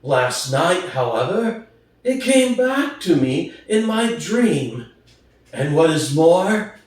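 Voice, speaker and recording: A man voicing an aged, hoarse boar who gives solemn, fervent revolutionary speeches in a storybook reading, slowly and emphatically. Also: fairly high pitch. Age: older. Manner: slow, emphatic oratory with weighty pauses. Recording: distant, very echoey room.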